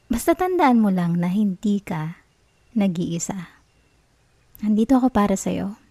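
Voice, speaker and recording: A woman playing an obsessive, sweetly manipulative young college girlfriend in an ASMR roleplay, with a Filipino accent and a soft, coaxing, cooing manner. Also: medium pitch, dry recording. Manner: coaxing, cooing, giggly reassurance.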